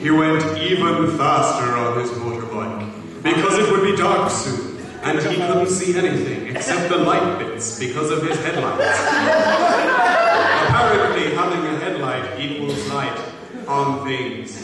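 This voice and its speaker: A man's voice: fairly high pitch, a little slow. A man narrating a comic live reading, mock-serious with sarcastic asides. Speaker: panelist and narrator. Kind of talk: live comic dramatic reading of fan fiction. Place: large convention hall, audience camera. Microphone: far camera in the audience. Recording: echoey hall from far off, crowd noise.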